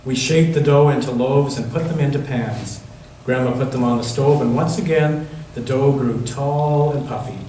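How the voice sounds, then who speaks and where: A man reading a picture book aloud to children in a church, pausing to put questions to them. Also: mid-range voice, warm tone. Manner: pauses to ask the listening children questions. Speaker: church children's story reader. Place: large echoey church interior. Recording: very echoey church room, distant mic, faint noise.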